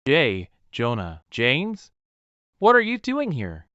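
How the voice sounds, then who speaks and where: A man's voice: medium pitch, huge pitch swings, slow pace, sharp tone, clean tone, no room sound, muffled recording, exaggerated voice. A man playing a burdened, determined masked vigilante in a comic dub, talking as if thinking aloud. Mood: wary.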